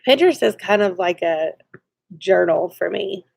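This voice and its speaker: A woman's voice: on the low side, expressive, normal speed, gravelly, darkish tone, slightly rough, dry recording. A woman with a stuffy nose, chatting casually and giggling as she reads viewer comments on a live stream.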